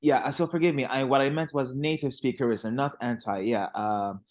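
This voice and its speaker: A man's voice: medium pitch, steady tone, brisk, a little mellow. A man in his twenties, a native Arabic speaker, talking conversationally as an interviewer. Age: 20s. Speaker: interviewer, English teacher.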